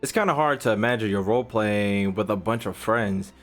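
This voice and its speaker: A man's voice: on the low side, a little fast. An American man reacting casually as he streams a game, blunt, profane and slangy, sometimes muttering to himself. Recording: game music behind.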